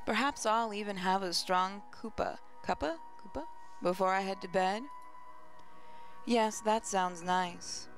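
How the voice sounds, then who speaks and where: Medium-pitched woman reading game narration. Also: measured pace. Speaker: gaming channel host. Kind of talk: reading visual novel narration aloud. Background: faint music.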